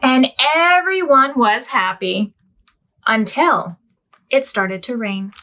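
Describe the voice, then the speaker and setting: A children's librarian reads a picture book aloud at preschool storytime in a high, very lively voice. She reads slowly and expressively, stopping often to ask the little ones what they think. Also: low-quality recording. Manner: expressive, slow, pausing to ask the children questions.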